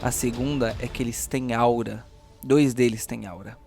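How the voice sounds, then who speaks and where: A man's voice: mid-range voice, fairly even intonation, moderate pace, creaky, darkish tone. Brazilian man as game master, music behind. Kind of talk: narrating a tabletop role-playing game.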